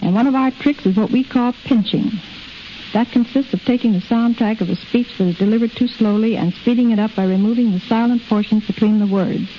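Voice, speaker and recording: A middle-aged woman reading scripted answers as a guest in a 1930s radio interview. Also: medium pitch. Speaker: film editor, interview guest. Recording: muffled, hissy 1930s radio recording.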